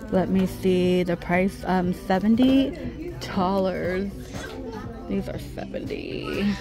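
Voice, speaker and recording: A middle-aged woman vlogging a casual shopping trip, chatting and reading out prices as she browses a busy store. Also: mid-range voice. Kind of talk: casual shop-with-me narration. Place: busy discount clothing store.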